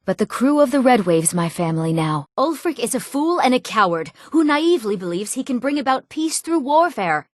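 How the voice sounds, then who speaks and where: A woman's voice: on the high side, sing-song, normal speed, hardly any pauses, no room sound, studio quality. An actress playing an earnest, eager young woman in a fantasy video game. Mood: indignant. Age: young adult. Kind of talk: video game character dialogue.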